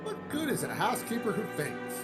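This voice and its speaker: A woman's voice: medium pitch, wildly swooping pitch, a little fast, soft background music. A woman playing a confident, skeptical family father in a radio play, brash and hearty in manner. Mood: irritated.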